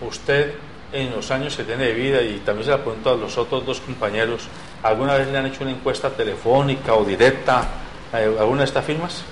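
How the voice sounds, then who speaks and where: A man's voice: mid-range voice, fairly even intonation, brisk, echoey room, a little hiss or hum, rough recording. A man moderating a televised panel debate, putting probing questions to his guests. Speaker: program director and debate moderator.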